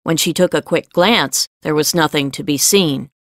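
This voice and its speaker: A woman reading scripted fiction as an audiobook narrator, with measured storytelling delivery. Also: low voice, dry recording, clean studio sound.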